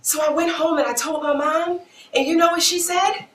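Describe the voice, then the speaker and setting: An actress in a stage play playing an outspoken, animated woman dancer with an emphatic, theatrical delivery. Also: very high voice, moderate pace, recorded from a distance. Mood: excited, reminiscing. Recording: roomy, noisy stage recording from afar.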